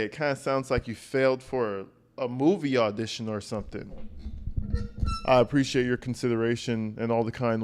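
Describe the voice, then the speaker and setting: A man reacts live as a stream host, talking casually in slang with plenty of swearing. He is blunt and mocking, in a mid-pitched voice. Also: clear, resonant tone. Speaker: livestream host reacting to video.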